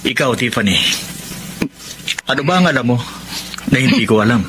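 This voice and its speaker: A man's voice: mid-range voice, expressive, normal speed, close to an everyday voice. An actor in a Tagalog radio drama playing a sly, scheming young man who pries for secrets with an insinuating, teasing manner. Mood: scheming, probing. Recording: noisy background ambience.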